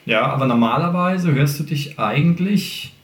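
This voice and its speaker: A man's voice: on the high side, animated, normal speed, fairly bright tone. A man hosting a casual podcast chat, explaining like a singing teacher in colloquial, joking language. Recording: roomy, echoey room sound.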